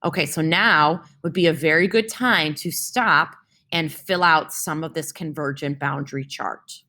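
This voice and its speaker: A woman's voice: deep voice, a little slow, sharp tone. Woman teacher who keeps asking "right?". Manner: explains with homely comparisons and keeps checking in with "right?". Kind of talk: reading a science text aloud for a class.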